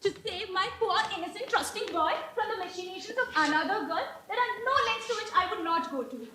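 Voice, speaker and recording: Woman acting very high-pitched Victorian ingenue. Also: expressive, fairly quick, pushed and bright, heavily acted. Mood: coolly cutting. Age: young adult. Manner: theatrical, pointedly polite. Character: sweet-faced, sharp-tongued young Victorian country girl. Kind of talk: amateur stage play performance. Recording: echoey stage, faint noise.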